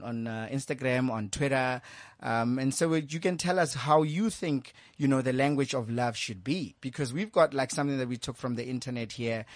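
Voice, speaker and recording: A man's voice: mid-range voice, no echo. A man, hosting a radio show in a chatty, laughing manner full of fillers. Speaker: radio host. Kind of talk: casual chat.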